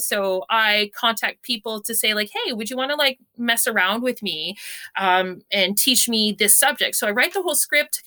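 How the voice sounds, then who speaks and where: Woman, high-pitched, loud and bright, excitable, jokey, casual anecdote telling, interview guest. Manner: excitable, self-deprecating, jokey. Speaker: interview guest, science communicator.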